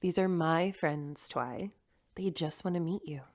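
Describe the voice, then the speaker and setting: A woman's voice: fairly low pitch, a little slow, darkish tone, lightly acted. A woman playing a gushing, protective mother in an audio drama. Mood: doting. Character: doting mother of a computerized daughter.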